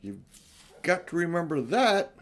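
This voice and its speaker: An anxious young girl in a storybook, put on by an older man. The voice jumps up high and sounds urgent and protesting. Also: sing-song, unhurried, fairly bright tone. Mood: worried.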